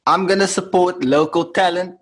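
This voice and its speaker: A man's voice: sits high, steady tone, moderate pace. A young man making brief, offhand remarks in a casual podcast chat. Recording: music playing behind.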